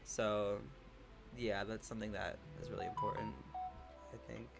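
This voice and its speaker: A man hosting a relaxed livestream, reading chat messages aloud and answering them supportively, with many fillers. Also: fairly low pitch, normal speed, creaky, loud music behind the voice. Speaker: livestream host.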